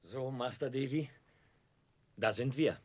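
A simple young man from the seaside in a 1960s radio play. His mid-pitched voice is plain and good-natured. The sound is dry. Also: takes it slowly, no echo. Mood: friendly, proud.

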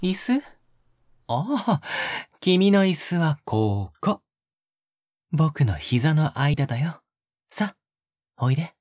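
A male actor playing a possessive young captor in an intimate audio drama, coaxing softly and often slipping into a whisper. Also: fairly high pitch, sing-song, extremely slow, long pauses, dry recording, studio quality, exaggerated voice. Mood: coaxing sweetness. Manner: soft, coaxing, often dropping to a breathy whisper. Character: possessive, sickly-sweet young male captor doting on a pet-like girl. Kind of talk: intimate audio drama acting, spoken to the listener.

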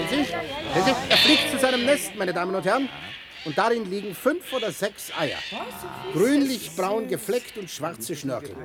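An actor playing an officious, pedantic tour guide in a radio play, lecturing in a declamatory way and giving stern commands. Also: male voice, sits high.

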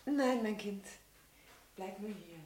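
An actress playing a composed, gently firm middle-aged mother of grown children in a radio drama. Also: mid-range voice, animated, slow pace. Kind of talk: German radio drama acting.

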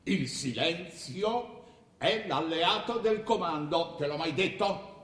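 A man's voice: fairly high pitch, lively intonation, slow, a bit bright. An actor playing a forgetful, ailing old father and ruler in a theatrical drama, rambling and insistent as he lectures his son. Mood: insistent, didactic. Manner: rambling, insistent, repeats himself, chuckling. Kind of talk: theatrical radio drama dialogue. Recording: echoey hall, long reverb.

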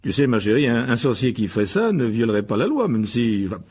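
A man voicing a sheepish, excitable middle-aged wizard father who stammers and backtracks, for an audiobook. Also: fairly high pitch, a little fast, gravelly, slightly rough, heavily acted. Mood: guilty, flustered.

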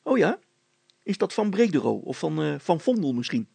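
Storybook poacher, played by a male reader: mischievous, chatty country fellow, raised husky voice. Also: on the high side, sing-song, normal speed, warm tone, no room sound, pitched above the performer's own voice, heavily acted. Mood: curious. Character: sly, cheerful village poacher, not easily scared. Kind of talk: acted storybook dialogue.

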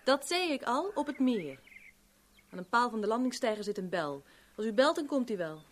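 An actress playing a cool, haughty young millionaire's stepdaughter in a radio drama, curt and cutting. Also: female voice, on the high side, very animated, normal speed, slight background noise. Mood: curt, dry.

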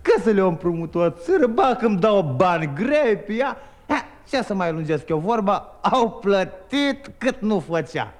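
A boastful young peasant trickster, high, swooping delivery, old radio play. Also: male voice. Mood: sly. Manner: lively, boastful, chuckling rhymed telling. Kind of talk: 1950s radio drama, rhymed storytelling.

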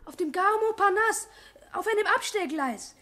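A young male actor playing an excited, insistent errand boy in a German radio play. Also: very high voice, normal speed, slight background noise, full character voice. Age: child.

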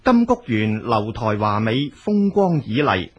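A man's voice: mid-range voice, big pitch swings, measured pace, husky, highs cut off. A man with a Cantonese accent narrating a folk tale as a scripted audiobook storyteller.

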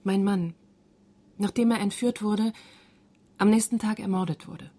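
Mellow female documentary voice-over. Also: medium pitch, fairly even intonation, unhurried. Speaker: voice-over translator. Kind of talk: scripted documentary voice-over, translating an interview.

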